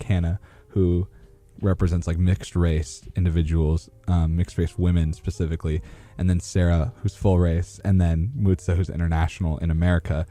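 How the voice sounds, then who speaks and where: Low, mellow young male podcast host. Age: young adult. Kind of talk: casual unscripted interviewing.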